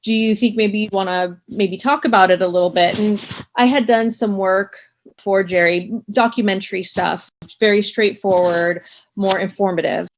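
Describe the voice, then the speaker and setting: A woman being interviewed, telling stories casually in a chatty, expressive and self-effacing way. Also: mid-range voice, moderate pace, slight background noise. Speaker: interview guest, audio drama writer. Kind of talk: casual conversational storytelling.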